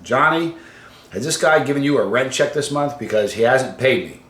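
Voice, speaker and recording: A man casually hosting a livestream and reading out viewer comments, halting and conversational, trailing off quietly at the ends of phrases. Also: medium pitch, animated. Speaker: host of a hot sauce challenge channel. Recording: roomy, echoey room sound.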